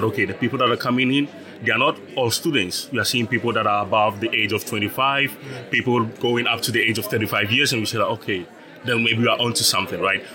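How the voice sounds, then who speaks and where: A man's voice: medium pitch, pushed and bright. A young man from Ghana, a startup founder, explaining his business as an interview guest, patient, with frequent laughs and repeated words.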